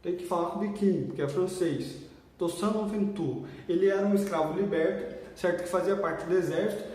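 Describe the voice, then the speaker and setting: A man's voice: high voice, a little fast, darkish tone. A Brazilian history teacher giving an exam-prep video lesson in a casual, chatty way, often checking in with his students. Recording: echoey room, mic far off.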